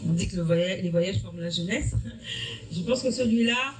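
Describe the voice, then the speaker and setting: A woman's voice: fairly low pitch, normal speed. A middle-aged woman from Africa, a teacher answering interview questions earnestly and often restarting her sentences. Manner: earnest, explanatory, with frequent restarts. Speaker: history-geography teacher. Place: evening school event. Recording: distant mic with noisy room.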